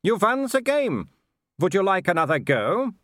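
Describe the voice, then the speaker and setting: Young werewolf villain, voiced by a man: high-pitched, cheerfully menacing, swooping and mocking, storybook style. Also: huge pitch swings, slow pace, fairly bright tone, dry recording, exaggerated voice. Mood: cheerful menace. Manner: gleefully taunting.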